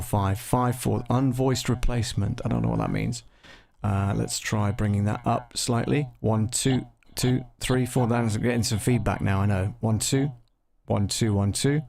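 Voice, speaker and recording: A man with a fairly low voice is presenting a live software demonstration. He talks his way through it hesitantly, half to himself, thinking aloud as he works. It sounds like an unscripted streamed tutorial. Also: creaky. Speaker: host of an audio production live stream tutorial.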